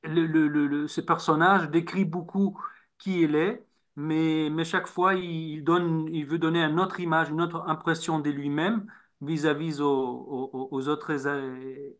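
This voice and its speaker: Man, Hebrew speaker, author guest, slightly high voice, halting, repeats words. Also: level tone, a bit bright. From Israel. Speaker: novelist guest. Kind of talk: interview answers.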